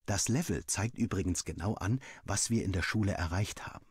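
A man reading a first-person story aloud in an expressive, scripted storytelling style, with a husky voice. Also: deep voice, a little fast, no echo, studio quality.

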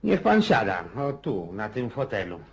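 An actor playing a boorish, domineering Russian country landowner in a radio drama, blustering, bossy and folksy. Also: male voice, medium pitch, wildly swooping pitch, moderate pace, a little mellow, breathy edge, heavily acted. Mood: self-satisfied. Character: boorish, boisterous provincial Russian landowner, domineering husband and father. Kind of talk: Polish radio drama acting.

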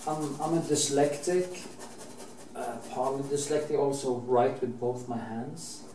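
A man's voice: mid-range voice, a little slow, warm tone. A middle-aged man from Norway with a Norwegian accent, talking while he paints, rambling and restarting his sentences, swearing casually now and then. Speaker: painting teacher. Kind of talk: painting demonstration. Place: painting studio, indoors. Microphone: camera mic, at a distance. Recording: roomy, distant, faint background hiss.

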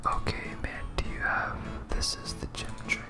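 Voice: moderate pace, music in the background. A person whispering casual, rambling ASMR commentary while playing a game, thinking aloud. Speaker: host of a gaming series.

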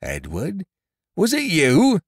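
A man's voice: high voice, wildly swooping pitch, slow, breathy edge, no room sound, studio quality, voice pushed up, exaggerated voice. A man voicing an honest, hurt and reproachful carrier in a Victorian storybook. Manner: indignant, reproachful.

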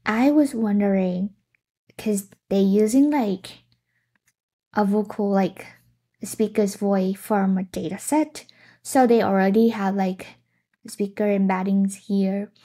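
A young woman walks through a coding demo in an unhurried, chatty way. She thinks aloud and reacts to what she hears. Her voice is recorded on a laptop webcam setup in an indoor room. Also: mid-range voice, steady tone, mellow, breathy edge. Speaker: tech tutorial host. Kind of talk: casual screen-share tutorial.

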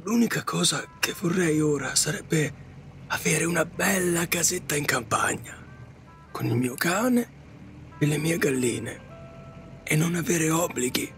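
Casting: male actor as weary cabbie, regretful dreamer, polite and hesitant, slightly high voice, audio drama with music behind. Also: a little slow, fairly bright tone. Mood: resigned, reflective. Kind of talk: Italian audio drama acting.

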